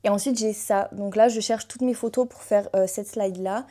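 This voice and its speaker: Young woman book vlogger, chatty, lots of little hesitations, soft mellow tone. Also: mid-range voice, fairly even intonation. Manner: chatty, fills pauses with hesitations. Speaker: book content creator. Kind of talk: casual vlog chat.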